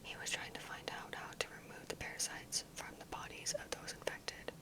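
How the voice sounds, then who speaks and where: Girl doing asmr commentary, all whispered, soft n breathy, careful measured talking. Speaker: ASMR gaming video creator.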